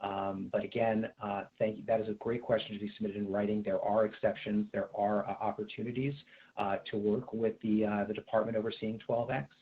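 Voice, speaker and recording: Low-voiced American man, thin phone-like audio. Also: little pitch movement, fairly quick. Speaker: contracts manager hosting a conference call. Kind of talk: formal question-and-answer on a recorded bid call. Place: indoors on a video call.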